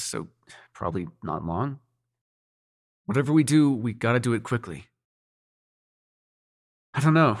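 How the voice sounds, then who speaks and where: A man's voice: medium pitch, husky, no echo, studio quality. A man playing a cocky, sarcastic demon prince with a tender side in an intimate audio roleplay, speaking casually with teasing and swearing. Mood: grim, scheming. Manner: casual, sweary, teasing, hesitant when sincere.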